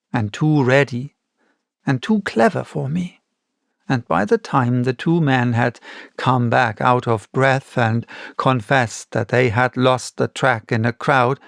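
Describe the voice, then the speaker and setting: Measured, gravelly male audiobook narrator. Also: medium pitch, dry recording, studio quality. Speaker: audiobook reader. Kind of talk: scripted literary narration.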